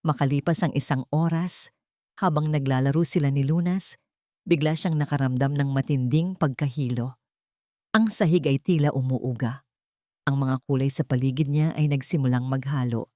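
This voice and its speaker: A woman narrating a melodramatic audio story in a steady, dramatic storytelling manner. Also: rumbling low, normal speed, no echo, clean studio sound.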